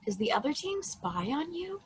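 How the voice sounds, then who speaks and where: A woman playing a newly awakened space probe in a table read, shy and awkward, speaking in a halting, earnest way. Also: high voice, very animated.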